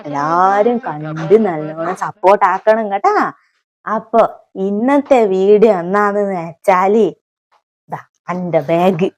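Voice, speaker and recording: Lady doing a chatty middle aged kerala village aunty, kannur accent, comedy vlog, folksy n rambly, medium pitch. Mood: proud, grateful. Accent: Kannur, north Kerala. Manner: folksy, rambling, addresses viewers fondly as children. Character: chatty, homely middle-aged village auntie playing at vlogging. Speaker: comic vlogger character. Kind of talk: comic mock vlog to camera.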